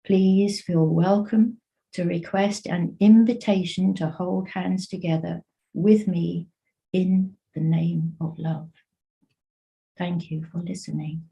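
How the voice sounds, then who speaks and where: A woman reading a prepared statement in an earnest, measured way. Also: lowish voice, steady tone, gravelly, warm tone, low-quality recording.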